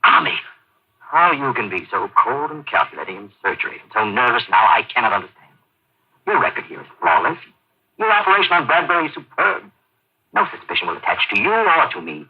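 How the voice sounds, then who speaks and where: A man's voice: medium pitch, big pitch swings, brisk, husky. A man playing a controlled, conspiring doctor, speaking with theatrical, reasoned emphasis in an old-time radio drama. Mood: controlled, chiding. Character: cool, controlled male doctor, a conspiring enemy agent. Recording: muffled old radio recording.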